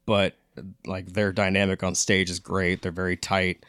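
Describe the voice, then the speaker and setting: A man's voice: deep voice, completely flat delivery, normal speed, a bit bright, no echo, studio quality. An American man hosting a podcast, casually promoting events and chatting with guests. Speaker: podcast host.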